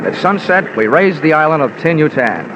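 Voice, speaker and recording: A man's voice: a little high, very animated, moderate pace, noisy background. An actor playing a wry, hard-boiled American investigator, telling his story in clipped, colourful 1950s radio drama narration. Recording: muffled old radio broadcast, loud music behind.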